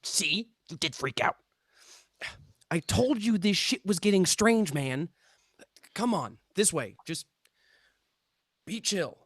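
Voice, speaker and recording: Slacker sleuth, played by a man: unhurried, swooping delivery, offhand but earnest, young, dry close table-read sound. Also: high-pitched, lively intonation, fairly bright tone, breathy edge, no echo, full character voice. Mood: urging calm. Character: Hank, breezy stoner young amateur paranormal investigator. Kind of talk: live script table read.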